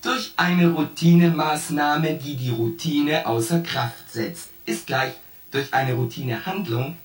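A roomy, slightly distant voice over music from an actor playing a ship's artificial intelligence in a sci-fi radio play. It speaks slowly and deliberately, laying out cold logic point by pedantic point like a formal accusation. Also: mid-range voice, close to an everyday voice. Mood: methodically accusing. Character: shipboard artificial intelligence, coldly logical, methodically accusing the commander. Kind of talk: science fiction radio play dialogue.

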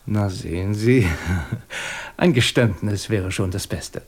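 An actor playing a shrewd, composed police inspector in a German radio crime drama, questioning methodically with dry superiority. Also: male voice, fairly low pitch, slow pace. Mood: dryly triumphant. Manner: methodical, probing, dryly superior.

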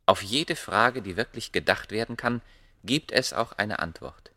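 An actor playing a proud, obsessive ancient scholar, speaking gravely and declaiming in a German radio play. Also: male voice, on the low side, pushed and bright, dry recording. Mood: grave, intense. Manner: grave, declamatory, deliberate phrasing.

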